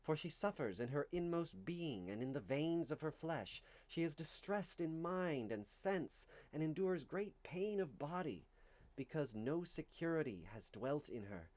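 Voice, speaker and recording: A man reading religious writing aloud in a solemn, declamatory way, with deliberate phrasing and dramatic emphasis. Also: on the high side, expressive, darkish tone. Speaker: reader of a religious text. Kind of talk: dramatic reading of medieval visionary scripture.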